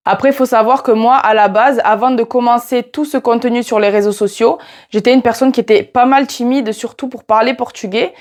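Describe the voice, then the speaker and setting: Young woman, southern French accent, casual vlogger, slightly high voice, talks straight to the viewer. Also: steady tone, a little fast, a little mellow. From France. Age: 20s. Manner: chatty, addresses viewer directly with "tu vois". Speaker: YouTuber teaching Portuguese. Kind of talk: casual vlog talking to camera.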